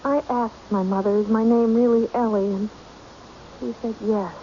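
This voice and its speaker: Timid, high, soft-toned woman played by an actress. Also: steady tone, lightly acted. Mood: naive, obedient. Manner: hesitant, meek, halting. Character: timid, hesitant, obedient woman, naive and easily led. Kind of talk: old-time radio mystery acting. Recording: dull, noisy old radio recording.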